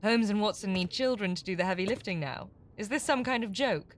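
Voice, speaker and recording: Actress doing sardonic lady crook, defiant and slippery, audio drama style, middle pitched. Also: fairly quick, slight background noise, lightly acted. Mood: scornful, defiant. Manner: sardonic, evasive. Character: shrewd, defiant female con artist captive, opera singer.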